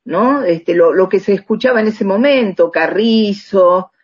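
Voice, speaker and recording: An older Argentine woman reminisces in a relaxed interview. Her pace is slow and halting as she pieces memories together, with a medium-pitched voice. The recording is slightly muffled. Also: husky. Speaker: interview guest, radio drama writer.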